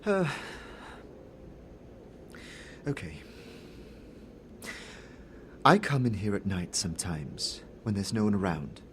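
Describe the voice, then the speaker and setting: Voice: deep voice, very animated, measured pace, background noise. A man voicing a frightened young male informant who is hesitant, stammering and defensive. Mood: furtive, scared.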